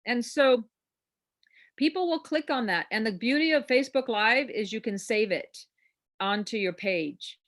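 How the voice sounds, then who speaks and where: A woman's voice: fairly high pitch, lively intonation, unhurried, fairly bright tone. A woman hosting a real estate class on a video call, coaching in a chatty, encouraging way that rambles with fillers. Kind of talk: informal coaching talk.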